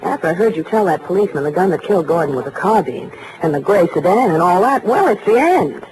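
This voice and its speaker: Flustered American widow, noisy vintage radio. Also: female voice, fairly low pitch, sing-song, quick pace. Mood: nervous, confessing. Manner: hesitant, coy, flustered. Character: flustered, evasive newly widowed American woman client. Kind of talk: 1940s radio drama acting. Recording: noisy old-time radio recording.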